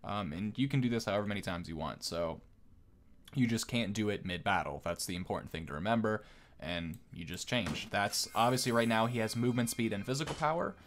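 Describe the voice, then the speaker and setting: A man's voice: fairly low pitch, brisk. A man giving casual game commentary as a video host, explaining things in a conversational way.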